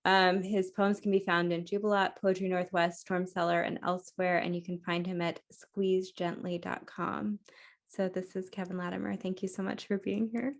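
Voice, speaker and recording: A woman's voice: lowish voice, little pitch movement, normal speed, gravelly. A woman host informally introducing a featured writer at a live literary event.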